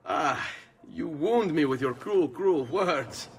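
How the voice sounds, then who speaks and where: A man's voice: a little high, expressive, slow pace, a little hiss or hum. An actor playing a charming, playful young Italian nobleman assassin in a video game, suave and wry, with an Italian accent. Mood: cocky, playful.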